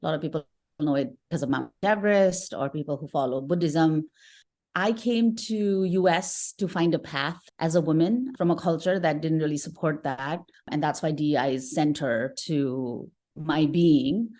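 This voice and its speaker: A woman's voice: deep voice, normal speed, darkish tone. A woman in her forties from Nepal, speaking earnestly and thoughtfully as an interview guest, often restarting phrases. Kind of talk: conversational interview.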